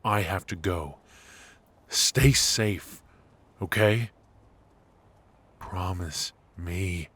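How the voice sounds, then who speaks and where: A man playing a cocky but affectionate secret agent in an intimate noir audio roleplay, with halting, teasing delivery. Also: deep voice, a bit bright, husky, heavily acted. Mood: urgent, tender. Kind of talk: intimate ASMR roleplay voice acting.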